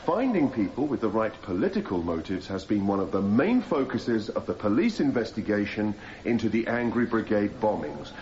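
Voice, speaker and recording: Rhetorical barrister, animated delivery. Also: male voice, on the low side. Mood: earnest. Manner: measured, rhetorical, persuasive. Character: impassioned male defence barrister addressing a jury. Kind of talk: radio drama courtroom speech. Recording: slightly dull, noisy radio broadcast copy.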